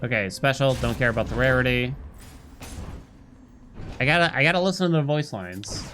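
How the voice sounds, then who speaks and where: A man's voice: medium pitch, normal speed. A man giving casual live video game commentary, thinking aloud as he plays. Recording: music behind the voice. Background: game music.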